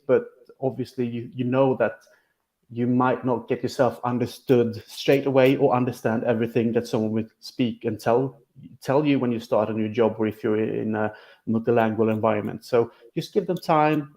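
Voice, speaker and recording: A man's voice: mid-range voice, mellow. A Swedish man with a Swedish accent co-hosting a casual podcast chat, making earnest, long-winded points. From Sweden. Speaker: podcast co-host.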